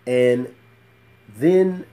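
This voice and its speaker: A middle-aged man giving an informal Islamic lecture in a measured, halting, reflective way. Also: mid-range voice, mellow. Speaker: Islamic teacher. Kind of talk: informal religious lecture with Arabic phrases and quotations. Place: small book-lined study indoors.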